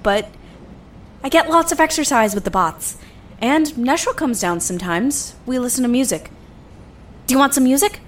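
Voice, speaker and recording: A woman's voice: a little high, fairly bright tone, a little hiss or hum. A woman acting an overworked, defensive engineer in a science fiction audio drama. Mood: guarded, brisk.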